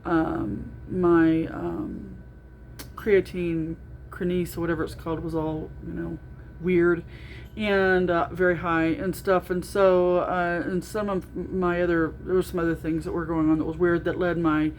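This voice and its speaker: A middle-aged woman with a naturally loud voice, talking through a casual video diary with lots of ums and restarts. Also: lowish voice, level tone, unhurried, slight background noise. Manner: rambling, with frequent ums and restarts. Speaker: vlogger.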